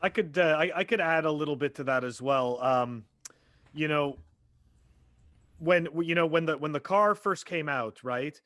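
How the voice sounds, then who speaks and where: A man in his forties speaks on a podcast in a somewhat high voice. The sound is dry and close. He halts often, leaning on "you know" and "okay?". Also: a bit bright, no echo. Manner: halting, with many "you know" and "okay?" fillers. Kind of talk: casual podcast discussion.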